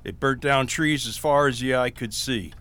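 An actor playing a calm, reassuring town doctor in a western radio drama, measured and matter-of-fact. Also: male voice, mid-range voice, smooth, natural voice. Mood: sombre.

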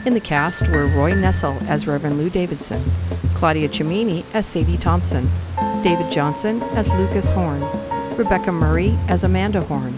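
A woman's voice: lowish voice, normal speed. A woman announcer reading the closing credits of a radio drama in a formal way. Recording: announcement over loud music and rain.

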